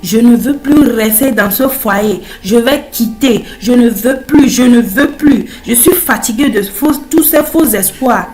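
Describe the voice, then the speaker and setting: Casting: actress as exasperated cartoon wife, high plaintive voice, echoey room, quiet music. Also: female voice, a little slow, hardly any pauses, natural voice. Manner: plaintive, repetitive complaining. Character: fed-up, despairing wife in a poor household. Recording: roomy echo, music underneath.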